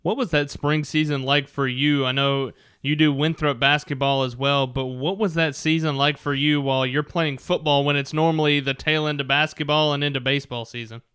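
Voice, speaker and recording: A man's voice: on the high side, fairly even intonation, normal speed, hardly any pauses, a bit bright, smooth, no echo, studio quality. A man hosting a sports show, asking questions and chatting in a conversational radio style. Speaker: sports show host, interviewer.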